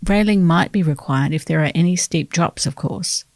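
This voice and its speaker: A woman's voice: sits low, normal speed, dry recording, studio quality. Australian woman reading a letter earnestly. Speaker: newspaper reader.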